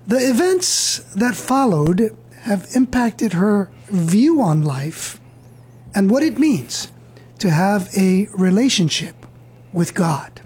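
Woman host of a faith talk show: slow, earnest, gently preaching, breathy tone. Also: medium pitch, big pitch swings, rough recording.

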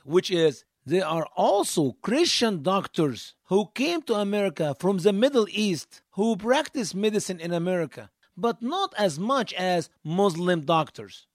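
A man delivers a spoken monologue in a high voice that swings widely up and down. He talks emphatically, tossing out rhetorical questions and then answering them. The sound is close and dry, with no room around it. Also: big pitch swings, normal speed, few pauses, no echo. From Egypt. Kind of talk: monologue commentary.

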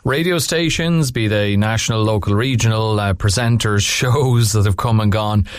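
A man hosting a radio interview, asking measured, conversational questions. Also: lowish voice, no room sound, studio quality. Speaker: radio host.

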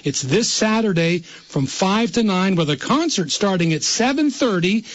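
A man with a notably high voice hosts a live radio interview. He rambles and trips over his words, repeating himself before each thought lands. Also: animated, normal speed, no room sound, lo-fi sound. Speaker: radio host.

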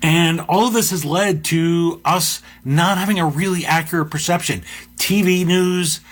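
Middle-aged male host, deep and slightly husky, conversational and musing. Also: measured pace. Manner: conversational, musing, with asides and restarts. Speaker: podcast host. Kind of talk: reflective monologue.

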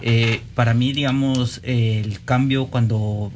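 A man's voice: mid-range voice, fairly even intonation, slow, darkish tone, a little hiss or hum. Hesitant man with a Guatemalan accent. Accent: Guatemalan Spanish. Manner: hesitant, many "eh" and "este" fillers. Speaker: radio guest.

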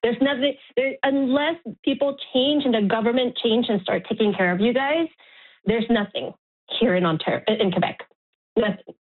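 A woman's voice: fairly high pitch, moderate pace. A middle-aged woman calling a radio phone-in, speaking frankly and emphatically off the cuff and repeating words for stress. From Montreal, Canada. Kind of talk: spontaneous opinionated phone-in talk. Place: on a phone call to a radio show. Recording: muffled narrow-band phone line.

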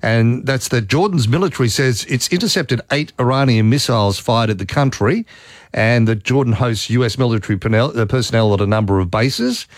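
A man's voice: mid-range voice, brisk, dry recording, studio quality. A man hosting talkback radio, reading breaking news and chatting with callers and his guest. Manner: conversational, reading news and taking calls. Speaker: radio host.

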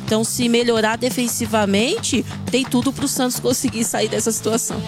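A woman's voice: on the high side, steady tone, a little fast. A Brazilian woman working as a football commentator, giving conversational match analysis. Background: music.

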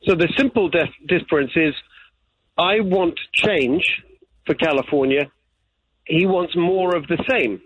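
A man's voice: a little high, a little slow, a bit bright, slightly rough, no room sound. A man from England, answering interview questions earnestly and repeating his key points. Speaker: political candidate. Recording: tinny phone line.

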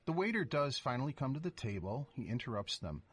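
A man's voice: mid-range voice, very animated, brisk, fairly bright tone, husky. A man narrating a story, setting each scene in a vivid, descriptive way. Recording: music underneath.

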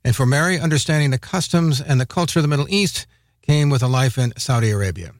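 A male radio host reading a scripted introduction to a news segment in an even, formal way. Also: medium pitch, fast, no room sound, studio quality.

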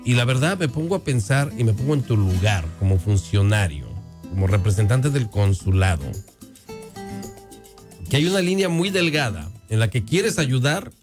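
A man with a Mexican accent hosting a conversational radio interview in a studio. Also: medium pitch. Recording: music clearly audible behind.

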